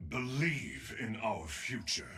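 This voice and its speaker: Proud game emperor, slow grand speeches, big swings in pitch, solemn and regal. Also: male voice, very animated, bright, forceful tone, slightly rough, soft background music, exaggerated voice. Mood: solemn, grandiose. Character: proud, imperious ascended desert emperor, grandiose and zealous. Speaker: video game character voice. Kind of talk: theatrical game voice lines.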